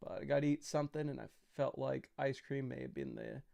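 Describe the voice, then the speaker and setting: A man's voice: medium pitch, fairly even intonation, fairly quick, vocal fry, dark tone, no echo. A young man hosting a fantasy football livestream, talking loosely and casually with lots of fillers as he explains his picks.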